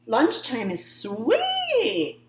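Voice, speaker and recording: A woman's voice: a little high, huge pitch swings, roomy sound, slight background noise, voice pushed up, full character voice. Woman as an exuberant, overeager boy shark. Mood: loud, overjoyed. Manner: exuberant, shouting, drawn-out exclamations. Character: loud, excitable young boy shark, overeager and good-hearted.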